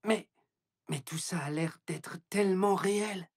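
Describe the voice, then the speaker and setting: A woman voicing a timid, excitable young rescue robot in a French cartoon dub, nervous, wide-eyed and expressive. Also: lowish voice, a little slow, slightly rough. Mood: amazed. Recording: loud music behind.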